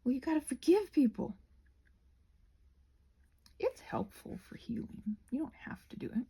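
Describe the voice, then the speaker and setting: Soft, breathy, creaky-voiced rambling woman. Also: high-pitched, big pitch swings, a little slow. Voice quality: soft, breathy, often dropping to a whisper. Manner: musing aloud, gently reassuring, repeats phrases. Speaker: host of a craft and ASMR ramble channel. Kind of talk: casual rambling ASMR chat while crafting.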